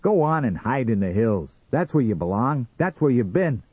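A man voicing a brash, boastful, hot-tempered hot dog vendor in an audiobook story, loud and blustering. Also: medium pitch, big pitch swings, moderate pace, slightly rough, no echo, muffled recording, pitched above the performer's own voice. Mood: angry, belligerent. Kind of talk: dramatised audiobook character reading.

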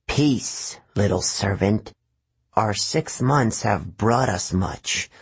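A man voicing a menacing ancient male monster with a cold, slow, commanding manner in an audiobook. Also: on the low side, expressive, breathy edge, dry recording, heavily acted. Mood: scornful. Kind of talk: audiobook character voice.

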